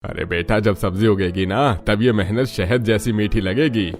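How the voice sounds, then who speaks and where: A man's voice: fairly low pitch, fairly even intonation, normal speed, natural voice. A man voicing an older father-in-law, a proud family elder, in a cartoon story. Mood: encouraging. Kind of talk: Hindi cartoon story dialogue.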